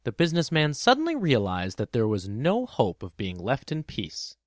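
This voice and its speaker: A man's voice: medium pitch, huge pitch swings, moderate pace, dry recording, clean studio sound. A man reading scripted storybook narration as the narrator of a children's tale. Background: plucked guitar music.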